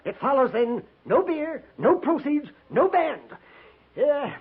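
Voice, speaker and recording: A man's voice: extremely high pitch, sing-song, slow, a little hiss or hum, full character voice. A man playing a fussy, nervous army sutler in a 1950s western radio drama, with an American accent and a dithering, florid, melodramatic delivery. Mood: flustered, lamenting. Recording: muffled vintage 1950s radio recording.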